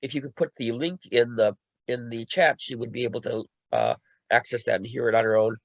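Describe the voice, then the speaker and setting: A man speaks casually in a panel discussion over a video call. His voice sits at a middle pitch and comes through dry, with little room sound. He often halts and circles back over his words as he makes a point. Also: expressive, brisk, vocal fry, dry recording.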